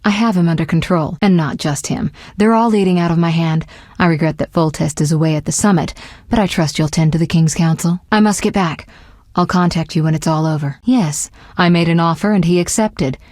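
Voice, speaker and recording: A proud young sorceress from a fantasy video game, acted by a woman, with a fairly low female voice. She is confident and articulate, able to command or to show tenderness, and is recorded close and dry. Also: brisk, a little mellow, no echo, natural voice. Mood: assertive, scornful.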